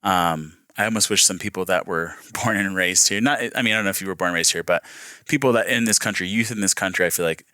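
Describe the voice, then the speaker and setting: A man's voice: deep voice, fast, bright, forceful tone, no echo, clean studio sound. A young man from Peru, talking frankly as a podcast guest and chuckling often. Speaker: podcast guest, real estate agent. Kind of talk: casual chat.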